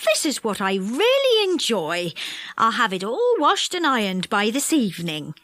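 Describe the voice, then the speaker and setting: A woman's voice: a little high, wildly swooping pitch, moderate pace, a bit bright, no echo, studio quality. A woman voicing a kindly, hard-working old dame in a contented, homely storybook manner. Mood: delighted.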